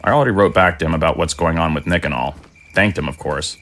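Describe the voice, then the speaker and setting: Man playing a halting, low-voiced gentle father. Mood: easygoing. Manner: halting, earnest. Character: devoted undead father, gentle, halting, reflective. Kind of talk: audio drama acting.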